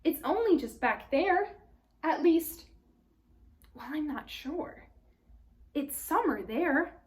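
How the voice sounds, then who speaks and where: Lady doing a little girl from a storybook, polite n earnest, kinda puzzled, high and bouncy. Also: big pitch swings, slow, exaggerated voice. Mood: amused, unsure. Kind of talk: storybook character read aloud.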